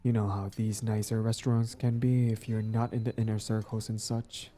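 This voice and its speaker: A man plays a smug yet smitten male villain out on a date. His voice is low and very mellow, with soft music behind it. He talks casually and intimately, like a smooth talker in a romantic audio roleplay. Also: little pitch movement, moderate pace, natural voice. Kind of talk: intimate scripted boyfriend-style audio roleplay.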